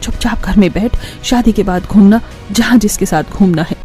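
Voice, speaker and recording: A woman's voice: medium pitch, moderate pace, mellow, soft background music, close to an everyday voice. A woman voicing a stern, weary mother who scolds her daughter reproachfully in a family cartoon. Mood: exasperated, stern. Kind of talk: Hindi family cartoon voice acting.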